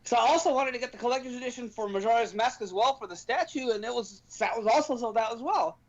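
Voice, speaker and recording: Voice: medium pitch, fast talker. A podcast host talking casually and emphatically about gaming news, ranting at length. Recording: dull lo-fi stream audio.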